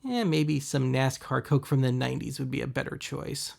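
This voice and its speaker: Wry man with a creaky voice. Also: mid-range voice, steady tone, normal speed, a little mellow. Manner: wry, sarcastic. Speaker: host of a thrift-shopping video series. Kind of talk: casual scripted voice-over commentary.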